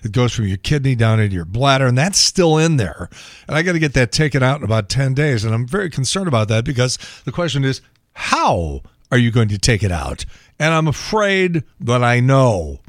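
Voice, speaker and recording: Gravelly-voiced American man, wry and casual. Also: mid-range voice, big pitch swings, a little fast, dry recording, studio quality. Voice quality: gravelly, thinning voice. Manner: wry, colloquial, profane. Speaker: podcast host. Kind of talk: casual solo monologue on news and sport.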